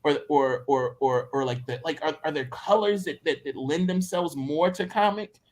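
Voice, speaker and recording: A man's voice: on the high side, normal speed, darkish tone. A man from Mississippi with a Southern American accent, talking casually and reflectively as an interviewer, circling back over phrases as he builds a thought. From Mississippi, United States. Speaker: interviewer, writer in conversation.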